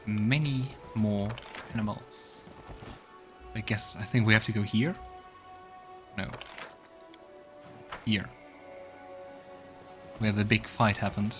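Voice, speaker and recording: A man's voice: on the low side, steady tone, slow, pauses often. A man hosting a let's play, casually commenting, musing aloud and reading game text. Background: faint game music.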